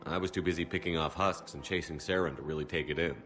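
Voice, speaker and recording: A tough military hero with a very deep voice and brisk, blunt phrasing. He sounds dry and assured, in game cutscene style over clear background music. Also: steady tone, a bit bright. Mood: matter-of-fact. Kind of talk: video game voice acting. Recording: music under the dialogue.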